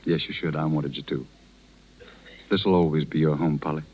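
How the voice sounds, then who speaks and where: Gentle, reserved gentleman, very low voice, halting delivery, muffled vintage radio drama. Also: fairly even intonation. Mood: tender, hesitant. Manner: hesitant, halting. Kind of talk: 1930s radio drama acting. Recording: muffled 1930s radio broadcast recording.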